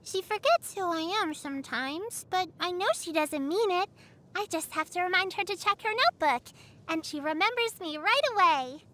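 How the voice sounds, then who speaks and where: A woman's voice: very high voice, expressive, normal speed, sharp tone, exaggerated voice. A woman voicing a sweet, earnest little girl in an anime game, caring, innocent and chatty. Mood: wistful. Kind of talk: anime game character voicelines. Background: background music.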